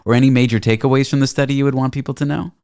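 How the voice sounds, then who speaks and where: A man hosting a radio talk show, putting quick, conversational questions to a guest and introducing the next segment. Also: mid-range voice, steady tone, a little mellow, clean tone, no room sound, studio quality.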